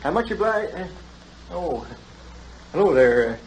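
A bashful, aging countryman in a vintage radio play. His high voice wavers widely in pitch as he speaks haltingly, with faint noise in the recording. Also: very animated, heavily acted, rough recording. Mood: awkward, bashful. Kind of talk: old-time radio drama acting.

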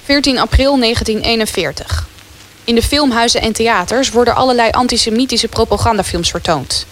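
A woman's voice: a little high, a little fast, fairly bright tone, slight background noise. A woman reading scripted narration as the storyteller of a historical radio play.

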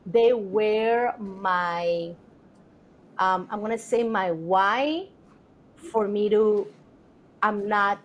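A woman's voice: mid-range voice, very slow, long pauses, muffled recording. A middle-aged woman from Colombia, a Spanish speaker, telling her story as a podcast guest in an earnest, reflective way with many fillers. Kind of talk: casual interview storytelling.

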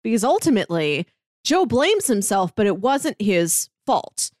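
A woman talks casually as a podcast co-host. Her pitch is mid to high and moves around in a lively way, and the sound is close and dry. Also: unhurried, dry recording. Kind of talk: casual chat reviewing a TV drama.

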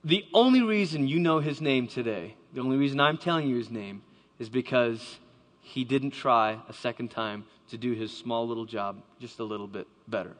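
A man's voice: mid-range voice, normal speed. A man giving a dramatic storytelling talk to a live audience, acting out the voices he quotes. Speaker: speaker. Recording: long hall reverb.

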